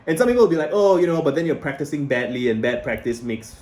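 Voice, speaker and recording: A man's voice: a little high, animated, quick pace, a little mellow. A man with a Singaporean accent, in his thirties, talking casually and rambling to camera, often restarting his sentences. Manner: rambling, self-correcting, conversational. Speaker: video blogger. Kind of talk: casual talking-head vlog.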